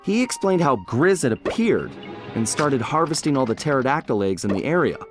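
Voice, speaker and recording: Man acting an animated, wry adventurer-thief narrator. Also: medium pitch, lightly acted. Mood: matter-of-fact storytelling. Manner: wry storyteller, dramatic pauses. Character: smooth-talking male cartoon thief, adventurous, easygoing gang leader. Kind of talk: dramatic storytelling narration for a video game. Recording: background music under the voice.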